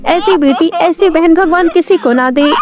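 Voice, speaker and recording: Younger sister for a storybook: girlish, plaintive, indignant, very high, acted by a woman. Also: measured pace, music in the background. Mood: tearful, indignant.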